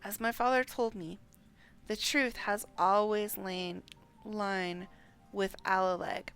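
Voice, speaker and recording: A woman's voice: mid-range voice, steady tone, slow pace, fairly bright tone, smooth. A woman livestreaming a game, chatting and reading dialogue aloud, sometimes stumbling over names. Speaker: game streamer.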